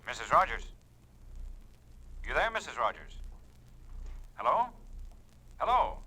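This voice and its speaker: A man's voice: mid-range voice, sing-song, slow pace, bright, forceful tone, husky, heavily acted, rough recording. An actor playing a businesslike policeman on the telephone, with a clipped official manner in 1940s radio drama style. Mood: concerned. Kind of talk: 1940s radio suspense acting. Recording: vintage radio recording, faint noise.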